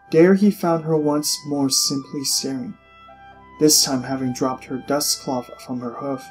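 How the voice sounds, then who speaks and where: A man narrates a story as a scripted audiobook. His voice sits at a middle pitch, and he phrases things deliberately with expressive pauses. Faint music plays underneath. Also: rough recording.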